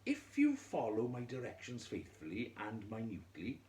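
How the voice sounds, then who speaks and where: A man storyteller narrating a scripted fairy tale for children, theatrically, in measured phrases with dramatic pauses. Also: medium pitch, wildly swooping pitch, mellow. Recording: echoey room with faint noise.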